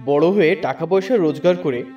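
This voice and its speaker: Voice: medium pitch, a little fast, warm tone, recorded from a distance, highs cut off. A narrator telling a first-person story in a dramatic, scripted audiobook style. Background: background music.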